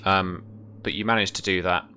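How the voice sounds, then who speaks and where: A man in his thirties narrating a tabletop game as game master, with a hesitant, halting delivery. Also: deep voice, fairly even intonation, sharp tone, slight background noise. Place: home room, indoors.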